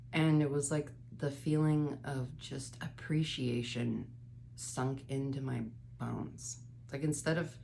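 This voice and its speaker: A woman's voice: low-pitched, mellow, a little hiss or hum. A woman in her thirties with a stuffy, congested nose, candidly rambling through a late-night vlog from her bedroom, sometimes swearing. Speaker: vlogger.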